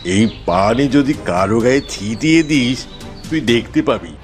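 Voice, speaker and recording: A man voicing a menacing, boastful tantric sorcerer in a fairy-tale cartoon, with a commanding, gloating manner. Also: medium pitch.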